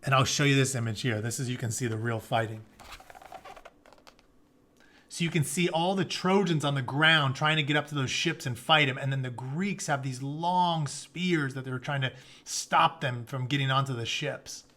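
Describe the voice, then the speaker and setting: A man teaching through a dramatic storybook read-aloud, with animated delivery and casual explanatory asides. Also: medium pitch, moderate pace. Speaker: teacher reading aloud to students.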